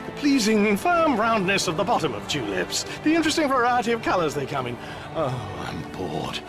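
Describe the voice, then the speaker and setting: An actor playing a flustered, excitable ordinary man in a comic radio drama, stammering and exclaiming as he talks to himself. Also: high-pitched, moderate pace, music in the background, exaggerated voice. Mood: self-distracting.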